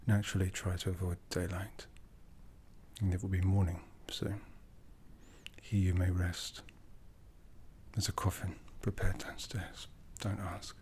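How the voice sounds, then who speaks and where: A man playing an aloof, commanding aristocratic vampire in an ASMR roleplay, half-whispering slowly and deliberately with a breathy, seductive delivery. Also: low voice, flat delivery, darkish tone, slight background noise. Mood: calm, commanding. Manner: slow, deliberate, measured.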